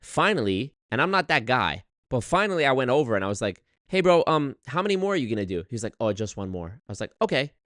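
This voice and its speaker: A man's voice: medium pitch, fast, few pauses, no room sound. Swearing, exasperated male streamer, dry sound. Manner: animated storytelling, swears a lot, exasperated. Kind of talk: casual livestream rant.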